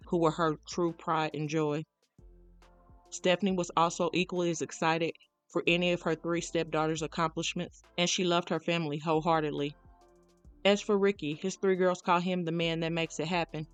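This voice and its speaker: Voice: medium pitch, clear, resonant tone, dry recording. A narrator reading a scripted true crime documentary account.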